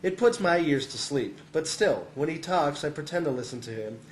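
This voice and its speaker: A man's voice: a little high, lively intonation, normal speed, echoey room, a little hiss or hum, low-quality recording. A man reading a humorous first-person essay as scripted narration, sounding amused and often close to chuckling.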